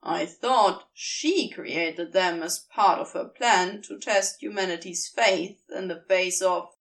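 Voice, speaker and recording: A woman doing a sardonic male demon, pitched low and pushed harder, with a sneering, prickly bite. He speaks slowly against a distant-sounding mic, a storybook demon always on the defensive. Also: vocal fry. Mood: incredulous. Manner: snide, sneering.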